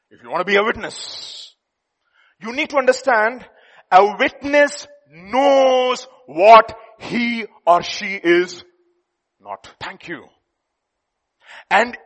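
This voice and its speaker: A man preaching a sermon, emphatic, jumping between loud declarations and hushed asides. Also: high-pitched. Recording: long hall reverb.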